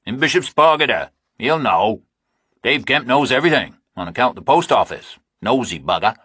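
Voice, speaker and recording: A man's voice: on the low side, sing-song, moderate pace, a bit bright, dry recording, clean studio sound, voice pushed up. A man voicing blunt, impatient farmers from England, speaking. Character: gruff rural English farmers, older men.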